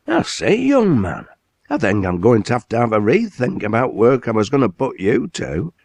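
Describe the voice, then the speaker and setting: A man voicing a blunt, hearty older male factory owner with a broad Lancashire dialect, in a commanding, brisk manner. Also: medium pitch, wildly swooping pitch, vocal fry, a little mellow, no room sound, pitched above the performer's own voice, full character voice.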